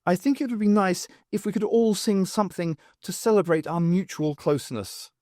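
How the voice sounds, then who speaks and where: A man's voice: sits high, moderate pace, dark tone, dry recording, clean studio sound, pitched above the performer's own voice, close to an everyday voice. A man voicing an earnest, sentimental female student in an audiobook, murmuring sincerely.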